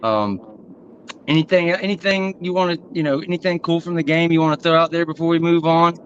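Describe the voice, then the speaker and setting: A man's voice: on the high side, fairly quick, dull audio, a little hiss or hum. A man hosting a casual sports talk show, rambling with fillers and restarts. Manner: loose, rambling, filler-heavy. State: sweaty, rushed. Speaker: podcast host.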